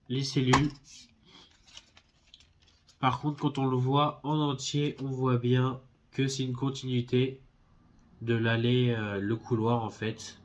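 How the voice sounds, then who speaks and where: A man's voice: medium pitch, muffled recording, slight background noise. A man with a stuffy head cold casually presenting a collector's box set, hesitant and friendly, with lots of filler sounds. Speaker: film collector YouTuber. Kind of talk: casual unboxing video.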